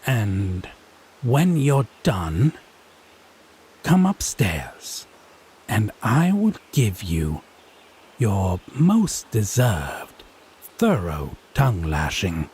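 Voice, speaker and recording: An actor playing a haughty, teasing male Fae in an audio roleplay, speaking in an arch, pompous, theatrically drawn-out way. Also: lowish voice, very animated, a little mellow, slightly rough, heavily acted. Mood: commanding, teasing. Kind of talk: scripted audio roleplay drama.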